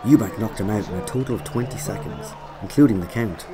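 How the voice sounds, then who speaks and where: A man narrating a scripted autobiographical story in a measured, dry way. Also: fairly low pitch. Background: loud music.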